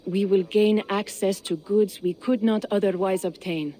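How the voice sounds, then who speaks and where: An actress playing a stately ruler who speaks in a measured, assured way. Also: female voice, medium pitch, steady tone, dark tone, slight background noise, natural voice.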